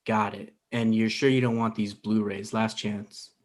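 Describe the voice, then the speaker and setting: A man's voice: fairly low pitch, flat delivery, measured pace, natural voice. A man in his thirties playing a needy, wounded, blustering dumped boyfriend in a table read over a video call. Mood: pleading, hurt. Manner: pleading, blustering. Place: indoor room on a video call.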